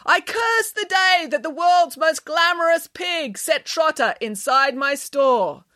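A woman voicing a theatrical, woeful lady chocolatier with drawn-out melodramatic wailing, in a storybook. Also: high voice, expressive, pushed and bright, no echo, clean studio sound, pitched above the performer's own voice, exaggerated voice.

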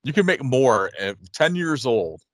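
A man's voice: medium pitch, sing-song, measured pace, dry recording. A man in his late thirties chatting casually as a podcast co-host, rambling and repeating his phrases. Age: late 30s. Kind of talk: casual chat, reading listener questions.

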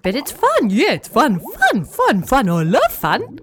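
Woman doing squealing excited little fish. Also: high-pitched, a little slow, noisy background, pitched above the performer's own voice, heavily acted. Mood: giddy. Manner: squealing, gushing, tumbling over each other.

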